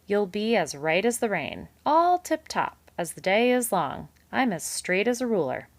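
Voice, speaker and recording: Jovial salesman beaver voiced by a woman, loud, high and bouncy, dry close sound. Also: sing-song, moderate pace, no room sound. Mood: hearty. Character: hearty, smooth-talking big male beaver schemer.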